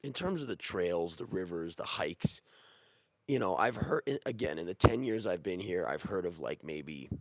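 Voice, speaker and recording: A man's voice: low voice, animated, normal speed, dry recording. A man who is sick and losing his voice, answering viewer questions about living abroad in a casual, rambling way. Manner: rambling, many restarts and fillers. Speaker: real estate agent answering viewer questions. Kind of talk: casual Q&A livestream.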